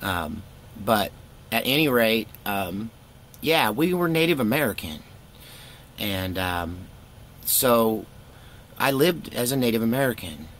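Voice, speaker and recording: A middle-aged man with a fairly low voice tells stories casually, wandering from point to point. He is laid-back and wry, quick to poke fun at himself. Faint noise sits behind his voice. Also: expressive, fairly bright tone. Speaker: storytelling vlogger, former youth pastor. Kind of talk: casual rambling personal storytelling.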